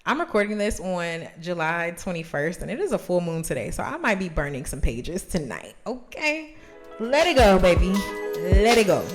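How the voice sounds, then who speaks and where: A woman's voice: on the low side, big pitch swings, normal speed, soft background music. A woman of thirty talking casually to camera as a host, in an encouraging, emphatic and conversational way. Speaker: host of a journaling video series.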